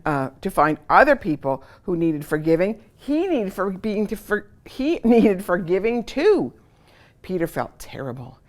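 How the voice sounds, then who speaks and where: A woman's voice: fairly low pitch, darkish tone. A woman hosting a children's story time, reading aloud expressively and putting questions to her young listeners. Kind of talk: animated picture-book read-aloud for children.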